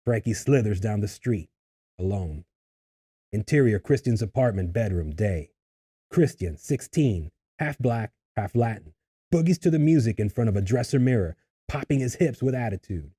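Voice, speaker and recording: A man reading screenplay stage directions in a husky voice, as scripted narration at a table read. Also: mid-range voice, dark tone.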